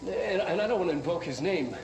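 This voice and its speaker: An actor voicing an anxious, earnest research chief in a video game, halting and stammering as he talks. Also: sits high, expressive, vocal fry. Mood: uneasy awe. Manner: halting, stammering, agitated repetitions. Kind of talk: video game acting, recorded log. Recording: echoey room, loud noisy old recording.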